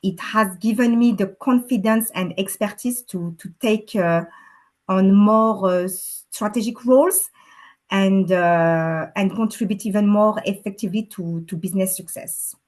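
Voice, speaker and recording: A woman with a French accent and French as her first language, giving an earnest testimonial over a video call as an alumna panelist, pausing often with fillers. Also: mid-range voice. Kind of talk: webinar testimonial.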